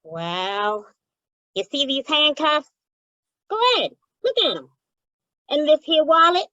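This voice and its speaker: A slightly muffled, dry recording of a woman acting a streetwise little crook in an old radio play. The voice is highish, brash and slangy, full of indignant grumbling. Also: sing-song, slow pace, bright, forceful tone, no room sound, heavily acted. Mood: sly, knowing. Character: streetwise, pushy, indignant small-time informant called Piggy. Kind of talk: old-time radio gangster-comedy acting.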